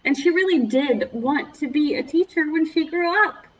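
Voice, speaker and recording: A woman's voice: high-pitched, expressive, normal speed, highs cut off. A woman children's librarian leading a preschool storytime, speaking to young children in an encouraging, exaggerated way.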